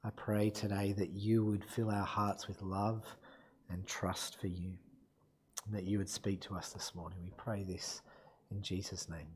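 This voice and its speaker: Croaky man preaching a sermon. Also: deep voice, unhurried. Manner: self-deprecating, relaxed, with fillers. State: croaky from illness. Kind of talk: sermon with Bible reading.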